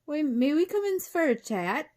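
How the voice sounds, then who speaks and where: A woman voicing a sly, insistent talking wolf with a raspy voice in a storybook reading. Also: high voice, sing-song, normal speed, darkish tone, voice pushed up. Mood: wheedling, insistent. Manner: insistent, calling out.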